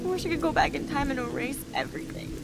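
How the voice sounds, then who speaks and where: Fragile, very high-voiced despairing schoolgirl. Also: quick pace. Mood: bitter despair. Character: despairing suicidal teenage schoolgirl, gentle and hurt. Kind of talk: dramatic video game acting. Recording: game music and rain behind.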